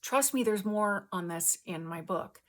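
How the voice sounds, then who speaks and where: A woman host giving an earnest spiritual testimony in casual language, speaking emphatically with halting pauses between short phrases. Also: mid-range voice, expressive, fairly bright tone.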